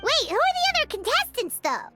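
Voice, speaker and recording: A squeaky tiny dragon creature. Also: huge pitch swings, fairly quick, full character voice. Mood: delighted. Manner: talks of itself in the third person. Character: cute tiny dragon creature, chirpy and sweet. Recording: game cutscene with music behind.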